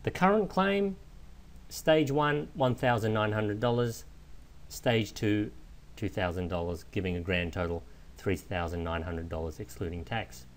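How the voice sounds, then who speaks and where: A man giving a methodical, explanatory software walkthrough in the manner of a trainer. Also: lowish voice, measured pace. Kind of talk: instructional screen walkthrough narration. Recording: clear steady background noise.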